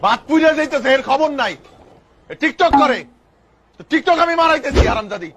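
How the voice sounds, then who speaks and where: A man voicing an angry, cursing household grumbler in a cartoon, husky and scolding. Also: very high-pitched, expressive, normal speed, a bit bright. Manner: scolding, abusive.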